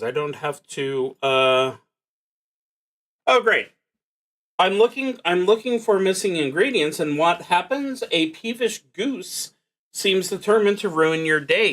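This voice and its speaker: A man thinking aloud as he narrates a solo tabletop roleplaying game, in a halting, musing, wry way. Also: on the high side, a bit bright.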